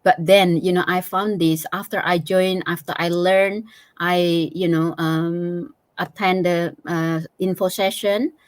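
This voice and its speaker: A woman's voice: fairly low pitch, fairly even intonation, a little slow, no echo. A middle-aged woman with an Indonesian accent, giving a casual personal account as a guest, hesitantly and with many small filler phrases. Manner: hesitant, frequent "you know". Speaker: interview guest.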